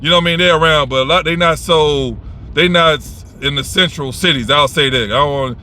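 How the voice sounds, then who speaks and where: A man's voice: a little high, a little fast, bright, forceful tone, slight background noise. A man giving advice in a casual, unscripted monologue, blunt and rambling, swearing freely and restarting his sentences.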